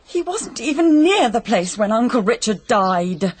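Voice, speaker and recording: An actress playing an earnest, devoted and defensive young British wife in a radio mystery, pleading and protective. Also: female voice, fairly high pitch, big pitch swings, unhurried, a little hiss or hum.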